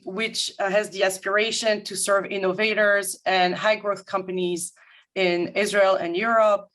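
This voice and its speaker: A woman from Paris with a French accent, a guest consultant presenting formally and in a measured, articulate way to an audience. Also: medium pitch, fairly bright tone. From France, grew up in Paris. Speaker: guest speaker, management consultant. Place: remote video-call talk.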